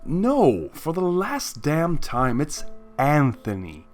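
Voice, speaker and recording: A man playing an exasperated, sarcastic young man in a story reading, sharp and incredulous. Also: a little high, huge pitch swings, slow pace, soft background music, voice pushed up, exaggerated voice.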